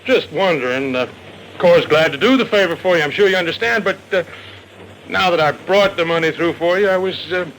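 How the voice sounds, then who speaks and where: A man's voice: high-pitched, fairly quick, fairly bright tone. An actor playing an eager, gullible male tourist in a 1950s radio drama, speaking in a hesitant, deferential manner. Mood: hesitant, hopeful. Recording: noisy vintage radio recording.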